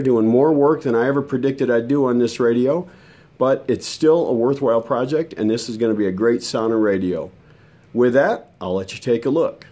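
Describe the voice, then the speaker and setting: Casting: American male hobbyist vlogger, dark mellow voice, mid pitch, folksy casual delivery. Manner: folksy, conversational. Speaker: hobbyist radio restorer and video host. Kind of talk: casual vlog chat to viewers.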